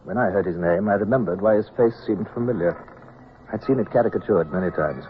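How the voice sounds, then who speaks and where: An earnest, anxious sea captain played by a man tells his tale in a low voice, dramatic and introspective. It is an old radio play with thin, phone-like sound and faint noise. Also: natural voice. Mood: reflective. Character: earnest, anxious male naval captain telling his story. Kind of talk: first-person narration, old-time radio acting.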